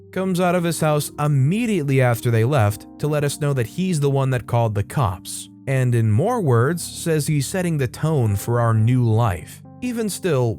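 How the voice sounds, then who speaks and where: A young man casually narrating online stories, adding his own wry commentary between them. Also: medium pitch. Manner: chatty, wry, animated asides. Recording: faint music behind.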